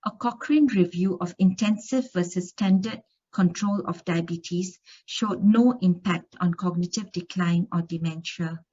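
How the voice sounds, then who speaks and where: A woman from Singapore delivers an academic lecture in a conference room, her voice sitting a little low. She works steadily through study findings, stumbling now and then on a filler or a restart. The recording is dull and slightly lo-fi. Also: a little slow, mellow.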